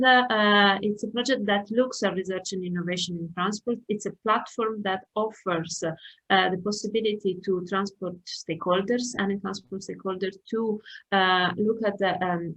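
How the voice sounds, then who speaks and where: A middle-aged woman, a transport economist, introducing herself on an online panel over a video call, pausing often with fillers and restarts. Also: mid-range voice, fairly bright tone, poor audio. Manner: hesitant, many fillers and restarts.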